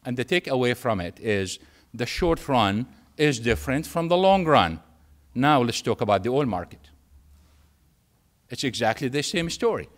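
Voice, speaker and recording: A man from Syria with a Syrian Arabic accent and Arabic as his first language, giving a public lecture in an emphatic way with repetition and pauses for effect. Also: mid-range voice, very animated. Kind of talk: public lecture with anecdotes and humour.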